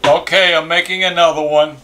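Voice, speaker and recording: A man's voice: fairly high pitch, little pitch movement, a little fast, bright, forceful tone, slight background noise. An older man co-hosting a vlog, explaining a recipe step by step in a plain, matter-of-fact way. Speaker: channel co-host. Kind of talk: kitchen demonstration.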